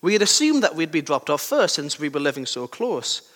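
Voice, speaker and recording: A young man with a sore throat and a slightly croaky voice, giving a conversational sermon with personal stories and questions to his listeners. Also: on the high side, sing-song, a little fast, a bit bright. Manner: conversational, self-deprecating, asks the listeners questions. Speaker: preacher. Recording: long hall reverb.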